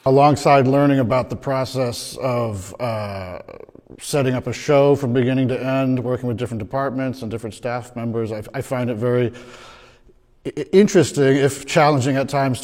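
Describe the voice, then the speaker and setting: Casting: man, museum guard, halting speech, long hall reverb. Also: mid-range voice, a little mellow. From Baltimore. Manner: hesitant, with fillers and restarts. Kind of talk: interview testimonial.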